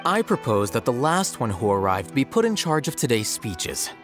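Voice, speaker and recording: A man's voice: mid-range voice, big pitch swings, lightly acted. Dry, analytical young scholar played by a man. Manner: measured, formal, dry. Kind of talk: video game voice acting. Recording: music behind the voice.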